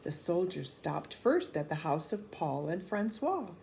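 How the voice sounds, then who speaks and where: A woman narrating a storybook for children in an expressive, deliberate, animated way. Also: on the low side, creaky, noisy background. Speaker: children's read-aloud storyteller.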